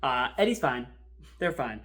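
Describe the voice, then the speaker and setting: A man playing a wry, rattled archival assistant in a horror drama, rambling and sardonic as he records a halting confessional monologue. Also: mid-range voice, very animated, a bit bright. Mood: uneasy, grim. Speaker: performer in a fan audio-video drama. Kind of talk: found-footage confessional monologue, conversational storytelling.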